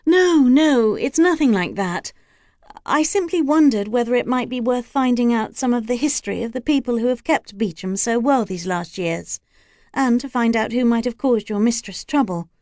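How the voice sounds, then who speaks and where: A woman's voice: mid-range voice, moderate pace, few pauses, no echo, studio quality, close to an everyday voice. A woman voicing a tactful young lady of good manners, courteous and reassuring. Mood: polite.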